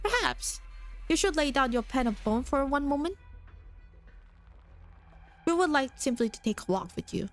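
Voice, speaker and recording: A woman's voice: high voice, lively intonation, normal speed, long pauses. A woman voicing an aloof, ancient non-human being who talks in a formal, archaic way, with faint music behind. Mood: cold.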